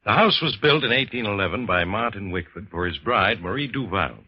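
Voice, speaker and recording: An actor playing a grave, learned doctor of the supernatural, solemn and portentous, in a 1950s radio horror drama. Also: male voice, lowish voice, animated, brisk, slightly rough. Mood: grave, ominous. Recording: dull, lo-fi vintage radio recording.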